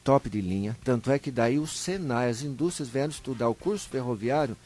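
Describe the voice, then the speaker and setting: Homem do interior paulista contando histórias. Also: male voice, medium pitch, fairly quick. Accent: Brazilian Portuguese, São Paulo interior. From Brazil. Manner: animated storyteller, acts out short dialogues, colloquial. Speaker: interview guest, local historian. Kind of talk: live interview, storytelling.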